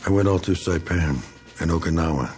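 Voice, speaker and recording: An actor playing a bitter, self-pitying middle-aged American war veteran in a radio drama, talking in a folksy, rambling, needling way. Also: male voice, low voice, fairly quick, a little mellow, soft background music, lightly acted. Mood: nostalgic, self-pitying.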